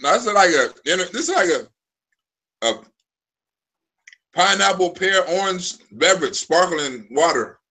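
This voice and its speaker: A man's voice: high-pitched, very animated, unhurried, pauses often, bright, forceful tone. A man in his forties hosting a live stream, telling loose, profane stories full of restarts. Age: 40s. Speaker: live show host. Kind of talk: casual live-stream chat.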